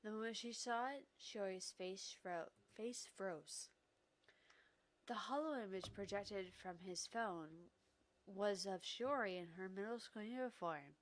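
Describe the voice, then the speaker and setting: A woman's voice: mid-range voice, a little slow. A woman reading a visual novel aloud as an amateur let's-play host, stumbling now and then and dropping into casual, sweary commentary.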